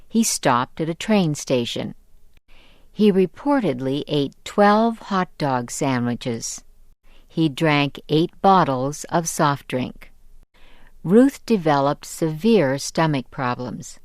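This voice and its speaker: Female narrator, American accent, low register. Unhurried, slow educational read with careful, measured diction. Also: darkish tone, no echo, studio quality. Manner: measured, careful enunciation for English learners.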